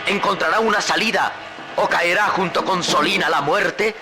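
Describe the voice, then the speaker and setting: A man's voice: high-pitched, normal speed. A man narrating an old radio serial in an emphatic, suspenseful way. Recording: roomy old radio recording with music.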